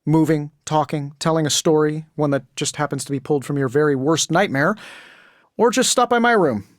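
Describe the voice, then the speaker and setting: An actor voicing a cocky, wisecracking genius inventor superhero in a video game, with an American accent and a sarcastic, glib delivery. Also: male voice, a little high, brisk, dry recording, studio quality, lightly acted. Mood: playful, wry. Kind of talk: video game character voice lines.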